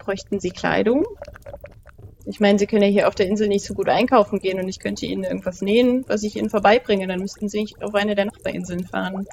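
A woman's voice: mid-range voice, fairly even intonation, fast, vocal fry, a little mellow, a little hiss or hum. A woman improvising conversationally as a player in a tabletop roleplaying game.

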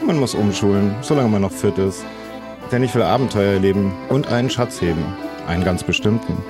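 A man's voice: fairly low pitch, fairly quick, music in the background, close to an everyday voice. A man playing an eager, green middle-aged craftsman who wants to be a pirate, in an improvised audio adventure with hesitant delivery. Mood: determined.

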